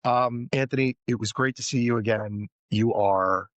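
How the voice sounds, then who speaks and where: Man, podcast host, casual interview chat, enthusiastic, complimentary, medium pitch, dry close mic. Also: moderate pace, a bit bright, no echo, lo-fi sound.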